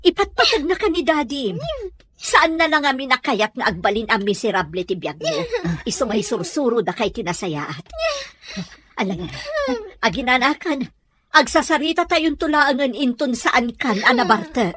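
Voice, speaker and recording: A woman's voice: fairly high pitch, animated, moderate pace, sharp tone. A woman acting a worried, scolding middle-aged mother in an Ilocano radio drama. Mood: worried, pleading.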